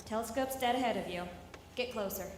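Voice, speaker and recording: An actress plays a brisk woman spacewalker used to taking command. Her voice is high and clipped, in live radio drama style, ringing through a reverberant hall with faint noise. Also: close to an everyday voice. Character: brisk, bossy woman spacewalker, secretly anxious.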